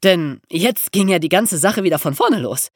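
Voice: mid-range voice, lively intonation, normal speed, no echo, clean studio sound. A podcast presenter explaining history as a story, with dramatic emphasis and rhetorical questions.

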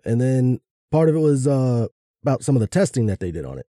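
A man's voice: medium pitch, very animated, fairly quick, mellow, no echo, clean studio sound. A man co-hosting a casual chat and reading out research. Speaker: podcast co-host.

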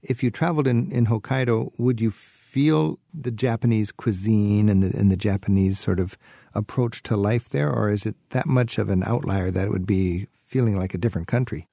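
Gravelly, dark-voiced male radio host. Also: on the low side, moderate pace, no echo, clean studio sound. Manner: curious, conversational questioning. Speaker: travel radio show host. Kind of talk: radio interview.

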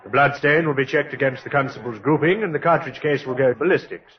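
An actor playing a brisk, authoritative police inspector in a radio drama, matter-of-fact and assured. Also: male voice, medium pitch, lightly acted. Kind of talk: 1950s radio drama acting. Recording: dull vintage radio recording.

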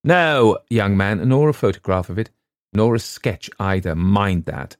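A man's voice: on the low side, a little slow, no echo, clean studio sound, natural voice. A man voicing an irascible old father who guards a painting, snarling and scornful in a storybook reading. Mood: vehement. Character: irascible old male art-collector father. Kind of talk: audiobook reading.

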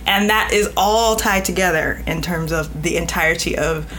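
Woman podcast guest, lowish voice, chatty and emphatic, some room noise behind her. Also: moderate pace, a bit bright, poor audio. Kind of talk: casual interview talk.

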